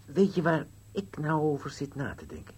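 An actor playing a grumpy, bickering old husband in a Dutch radio play, curt and dry, with a husky voice. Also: male voice, fairly high pitch, wildly swooping pitch, moderate pace, a little mellow, exaggerated voice. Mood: musing, dry. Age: older.

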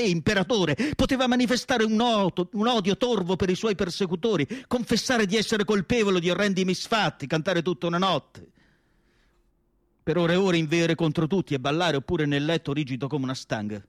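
An actor playing an anguished, indignant and nostalgic asylum psychiatrist in a declamatory radio theatre monologue. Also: male voice, high voice, no echo, studio quality, natural voice. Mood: nostalgic, bitter. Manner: declamatory, rhetorical questions, emphatic lists. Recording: music playing behind the voice. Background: music.

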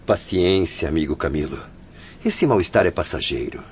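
An actor playing a patient, reassuring spirit companion in a radio soap opera, speaking in a formal, consoling way. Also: male voice, sits low, expressive, normal speed. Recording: muffled, noisy radio drama recording.

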